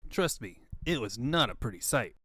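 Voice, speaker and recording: Brash young male hedgehog hero for a comic dub, voiced by a man: cocky, slangy, wisecracking, very animated. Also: mid-range voice, normal speed, no room sound. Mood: casual recalling. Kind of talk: comic-book fandub cartoon acting.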